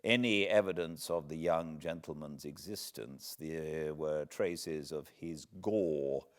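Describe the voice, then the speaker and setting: A British man reading classic literature aloud as a narrator, in a measured, dramatic manner with deliberate pauses. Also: rumbling low. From Britain. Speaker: audiobook narrator, host of fireside readings. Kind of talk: expressive literary storybook reading.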